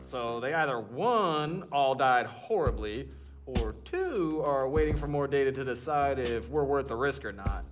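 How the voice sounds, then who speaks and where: A man's voice: medium pitch, expressive, measured pace, music in the background, lightly acted. Pompous, impatient genius doctor, man acting. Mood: condescending, measured. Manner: precise, pompous, dry. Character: impatient, coolly arrogant genius medical doctor. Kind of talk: audio drama dialogue.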